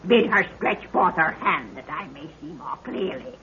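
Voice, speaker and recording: An actress playing an ancient, cackling prophetess who speaks in drawn-out archaic riddles in an old radio adventure serial. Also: female voice, fairly low pitch, lively intonation, sharp tone. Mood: ominous, mysterious. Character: ancient cackling prophetess of a hidden underground world. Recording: noisy, muffled old radio recording.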